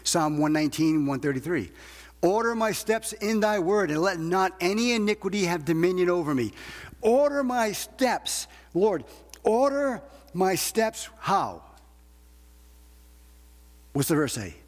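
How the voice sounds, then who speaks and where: A man's voice: sits high, expressive, a little slow. A man preaching a sermon to a congregation as a preacher, emphatic, repeating phrases and asking rhetorical questions. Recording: long hall reverb.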